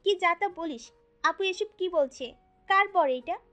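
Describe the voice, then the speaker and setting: A woman's voice: extremely high pitch, unhurried, sharp tone, faint music behind, pitched above the performer's own voice, exaggerated voice. A woman voicing a brash, chatty young male student with slangy, exclamatory talk in an audio story. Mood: shocked.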